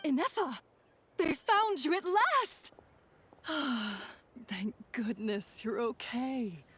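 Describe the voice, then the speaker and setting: High-voiced, concerned companion. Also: female voice, animated, very slow, bright, forceful tone, husky, heavily acted. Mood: relieved. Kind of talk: video game voice acting.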